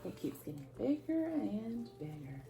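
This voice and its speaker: A woman's voice: mid-range voice, big pitch swings, measured pace, mellow, recorded from a distance, soft background music. A woman reading a picture book aloud for young children, expressively and with questions to her listeners. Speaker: children's storyteller at a church.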